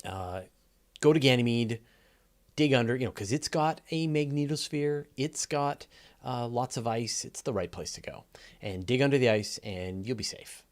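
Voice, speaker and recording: A man's voice: mid-range voice, lively intonation, moderate pace. A man hosting a live question-and-answer show, explaining things in an informal, emphatic way and repeating phrases for stress. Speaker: host of a live astronomy Q&A show.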